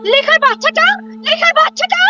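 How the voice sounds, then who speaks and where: A woman playing a desperate prehistoric tribeswoman in an audio drama, shouting in a shrill, frantic way. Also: fairly quick, muffled recording. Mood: frantic alarm. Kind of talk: Bengali audio drama acting. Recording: loud music under the voice.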